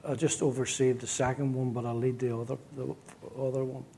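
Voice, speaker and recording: A middle-aged man from Northern Ireland with a Belfast accent, a pastor recounting his past in an interview with wry jokes and halting restarts. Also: medium pitch, flat delivery, warm tone, a little hiss or hum. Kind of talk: interview storytelling.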